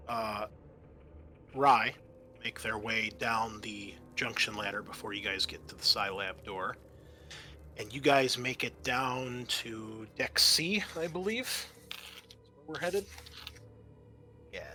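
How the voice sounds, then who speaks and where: A man narrating a tabletop roleplaying game as game master, improvising and thinking aloud with hesitant pauses. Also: medium pitch, expressive, sharp tone, a little hiss or hum.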